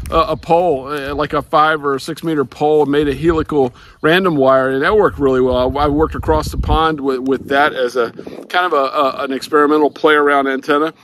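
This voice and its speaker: Casting: older male hobby vlogger, slightly high voice, casual explainer, halting and rambling, recorded outdoors. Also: steady tone, a bit bright, slight background noise. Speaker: ham radio vlogger. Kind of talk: casual explanatory talk to camera. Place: outdoors in a grassy backyard.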